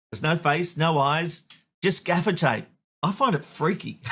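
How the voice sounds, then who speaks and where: A man's voice: fairly high pitch, fairly bright tone. A man with an Australian accent hosting a media commentary show, wry and opinionated. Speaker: host.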